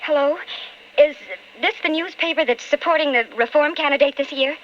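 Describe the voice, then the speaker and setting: An actress playing an anguished, calculating wife of an alcoholic in an old-time radio drama, with intense, melodramatic delivery. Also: female voice, high voice, brisk, muffled recording, natural voice. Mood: tense, guilty.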